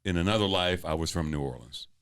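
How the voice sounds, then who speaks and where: Man, low voice, lively pitch, casual podcast host, slangy, laughing. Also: fast talker, clear, resonant tone. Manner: slangy, laughing, animated storyteller. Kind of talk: casual chat over beers.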